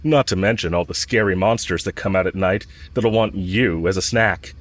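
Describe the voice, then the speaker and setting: A man's voice: low voice, moderate pace, fairly bright tone. A man playing a cocky, smitten villain who talks casually, frankly and teasingly to the listener in a romantic audio roleplay. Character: smitten male villain, cocky, teasing, bluntly confessing love.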